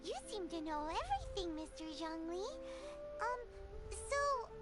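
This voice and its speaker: A tiny fairy girl from a video game. Her extremely high, squeaky childlike voice bounces around with chatty, naive excitement over loud music. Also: a little slow, heavily acted. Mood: curious, chatty. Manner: excitable, refers to self in third person. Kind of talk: video game dialogue acting.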